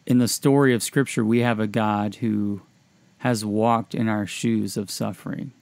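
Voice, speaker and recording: A man's voice: lowish voice, fairly even intonation, measured pace, vocal fry, a little mellow, no echo. A man hosting a podcast discussion about faith in a reflective, conversational style. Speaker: podcast host.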